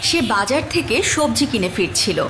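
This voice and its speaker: Animated female story narrator. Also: fairly high pitch, moderate pace, noisy background.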